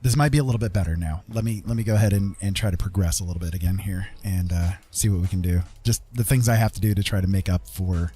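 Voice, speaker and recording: A man's voice: low-pitched, quick pace, few pauses, dark tone, slightly rough, faint music behind. A man giving casual live gameplay commentary as a streamer, chatty and thinking aloud. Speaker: game streamer, host.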